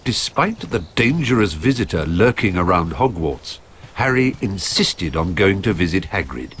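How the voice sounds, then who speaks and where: A man narrates game cutscenes in a measured storybook way, with his voice sitting somewhat low. His pitch moves up and down expressively. Background noise runs clearly under his voice in the game audio. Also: big pitch swings, fairly bright tone.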